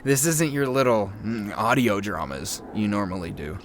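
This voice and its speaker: A man's voice: fairly low pitch, expressive, moderate pace, slight background noise, close to an everyday voice. A man playing a fussy, exasperated podcast sound technician in naturalistic audio drama dialogue, informal and nagging.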